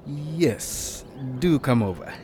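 A man's voice: on the high side, expressive, slow, dark tone, slight background noise. An actor with a Kenyan accent playing a showy middle-aged politician and family man in an audio drama. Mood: breezy. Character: smooth, showy male politician, middle-aged father and husband. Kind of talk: audio fiction dialogue.

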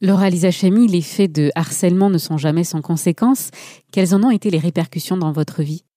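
A woman's voice: on the low side, a little fast, mellow, no echo, studio quality. A woman hosting a radio show, presenting and interviewing from a script in a formal, articulate way.

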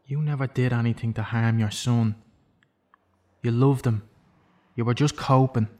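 An actor playing an imprisoned killer in an audio drama, self-loathing and intense, speaking with deliberate, persuasive insistence. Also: male voice, mid-range voice, fairly even intonation, mellow, natural voice. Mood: firm, consoling.

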